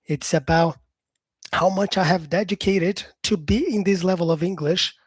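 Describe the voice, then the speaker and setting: A man's voice: high voice, normal speed, breathy edge, dry recording. Chatty man teaching English live. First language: Portuguese. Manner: chatty, reads viewer comments aloud and answers them. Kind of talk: live stream chat.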